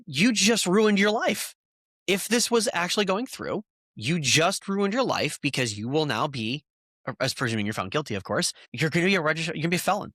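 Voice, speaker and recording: A podcast host talking from home, animated and incredulous, with sarcastic asides. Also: mid-range voice, a little fast, no echo, studio quality. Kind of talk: casual podcast chat.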